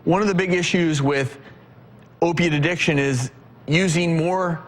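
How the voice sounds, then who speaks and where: A man hosting a health talk show, explaining things in a measured, presenterly way. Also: fairly high pitch. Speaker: TV show host.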